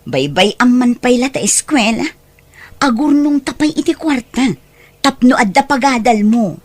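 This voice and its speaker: A woman with a Filipino accent playing a greedy, scheming aunt in an audio drama, expressive and falsely soothing. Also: a little high, measured pace, no echo. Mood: coaxing. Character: scheming greedy aunt exploiting her young singing nephew. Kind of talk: Ilocano audio drama acting.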